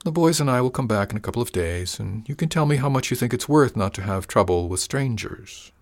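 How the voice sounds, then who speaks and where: A man's voice: medium pitch, expressive, a little fast, voice pushed up, lightly acted. A man voicing a falsely friendly male gang boss who drawls with oily, mock-wounded charm. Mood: smarmy menacing.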